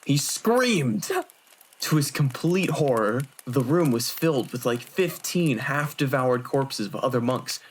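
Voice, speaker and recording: A man's voice: medium pitch, expressive, measured pace, a little hiss or hum, natural voice. Man playing a smug, theatrical young monk. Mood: spooky, dramatic. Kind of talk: fan audio drama acting, spooky campfire storytelling.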